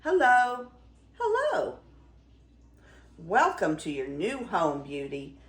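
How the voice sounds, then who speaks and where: A woman's voice: mid-range voice, wildly swooping pitch, slow pace, roomy sound. An older woman voicing a huge, hairy male beast from a storybook, emphatic and blustering yet kind. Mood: eager, welcoming. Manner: bellowing, emphatic.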